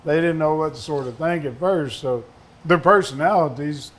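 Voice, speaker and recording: A man's voice: fairly high pitch, moderate pace, fairly bright tone. A man with a Southern accent, a distiller on a panel, telling folksy, rambling anecdotes. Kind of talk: casual storytelling on a panel.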